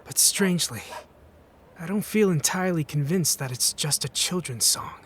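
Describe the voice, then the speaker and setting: A man playing a troubled young psychic who narrates his story in a brooding, hushed, dramatic fan-dub style. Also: a little high, expressive, moderate pace, a little hiss or hum. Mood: uneasy, pensive.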